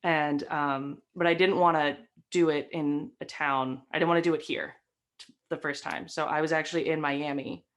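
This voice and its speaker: A young woman with a faint Southern American lilt talks in a low, easygoing voice. She tells long stories full of fillers and asides. The sound is from a webcam in a home room. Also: fairly even intonation, normal speed. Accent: light Southern American. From Southern United States. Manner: chatty, storytelling, many fillers. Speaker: guest, stream host and comic. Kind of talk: casual interview chat. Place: decorated home room with framed posters.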